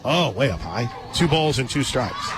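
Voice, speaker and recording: A man doing live radio play-by-play of a softball game, mixing calls of the action with casual, conversational chat. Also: mid-range voice, very animated, moderate pace, heavy background noise. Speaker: radio play-by-play sports announcer. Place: outdoor ballpark radio booth. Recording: loud crowd and music behind broadcast. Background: loud stadium crowd and music.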